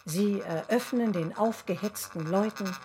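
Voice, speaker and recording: A woman's voice: mid-range voice, unhurried, darkish tone, lightly acted. A woman narrating a scripted documentary radio play, reading a synopsis in short declarative sentences. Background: music behind the voice.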